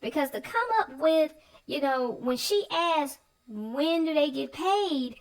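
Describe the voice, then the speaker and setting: A woman's voice: high voice, lively intonation, slow, rough recording. A woman co-hosting a casual, informal discussion of a children's story, speaking her opinions freely. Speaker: read-aloud show co-host.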